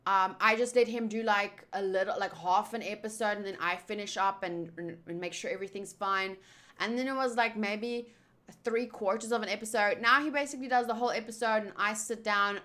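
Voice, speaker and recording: Young woman, afrikaans first language, bright voice, kinda high, rambly livestream chat. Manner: chatty, rambling, with many fillers and restarts. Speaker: streamer answering chat. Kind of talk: casual live-stream chat.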